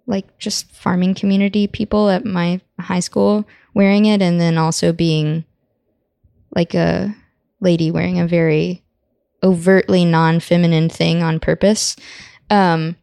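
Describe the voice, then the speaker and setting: A woman's voice: lowish voice, flat delivery, measured pace, vocal fry, dark tone, clear, resonant tone, dry recording, clean studio sound. A woman in her twenties from the southern US, a musician guest who chats casually, laughs easily and wanders off on tangents. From Southern US, Tennessee. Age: 20s. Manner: laughing, tangent-prone, self-deprecating. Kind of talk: casual interview chat.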